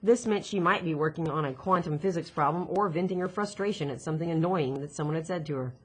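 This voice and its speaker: A woman's voice: sits low, fast talker, breathy edge. A woman narrating a children's novel expressively, reading from the text. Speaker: audiobook reader, teacher. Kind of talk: expressive scripted story narration.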